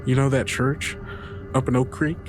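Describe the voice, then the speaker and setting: A man's voice: medium pitch, little pitch movement, moderate pace, over music, voice pushed up, close to an everyday voice. Man putting on a folksy, troubled country woman. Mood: troubled, rueful. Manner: folksy, hesitant phrasing with repeats. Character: folksy, rueful country woman, a neighborly hostess. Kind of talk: improvised in-character tabletop roleplay.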